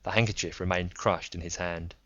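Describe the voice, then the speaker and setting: A man's voice: sits low, fairly even intonation, brisk, fairly bright tone, no room sound. A man narrating a science fiction audiobook as a scripted reading. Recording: slightly dull close dry recording.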